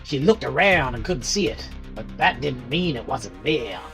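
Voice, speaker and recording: A woman's voice: rumbling low, expressive, moderate pace, gravelly, a bit bright, soft background music. A woman reading a scripted horror story as its narrator.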